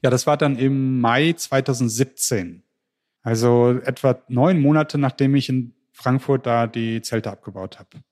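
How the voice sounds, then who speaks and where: A man's voice: mid-range voice, a little slow. German man telling his story eagerly. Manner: lively storytelling with frequent restarts. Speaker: interview guest. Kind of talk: casual podcast interview.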